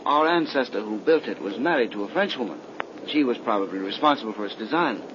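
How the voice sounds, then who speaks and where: A man's voice: mid-range voice, animated, quick pace, close to an everyday voice. A man playing a nervous, impressionable young man in a 1930s radio horror drama, with an anxious, theatrical delivery. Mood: uneasy, musing. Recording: muffled, noisy 1930s radio recording.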